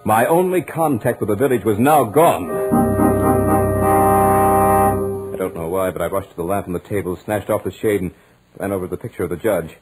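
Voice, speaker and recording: An actor playing an anxious student who narrates his mounting dread in a dramatic, deliberate 1940s radio horror style. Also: low voice, lively intonation, rough recording. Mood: mounting terror. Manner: dramatic, deliberate, suspenseful delivery. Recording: old 1940s radio recording, music behind. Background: dramatic musical score.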